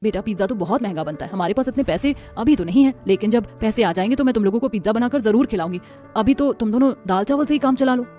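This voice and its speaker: A woman voicing a poor, gentle village mother in a cartoon story, explaining things humbly. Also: on the high side, lively intonation, quick pace, soft background music, lightly acted. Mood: reassuring. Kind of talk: Hindi cartoon story voice acting.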